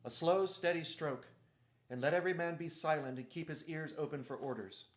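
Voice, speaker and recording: A man's voice: mid-range voice, moderate pace, lightly acted. Guy doing a young storybook king at sea, firm and noble, emphatic commanding way of talking.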